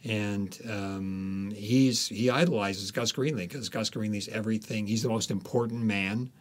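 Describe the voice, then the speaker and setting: Male author in interview, fairly low voice, conversational and thoughtful, halting delivery. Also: steady tone. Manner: halting, deliberate, pausing often. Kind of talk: reflective conversational explanation.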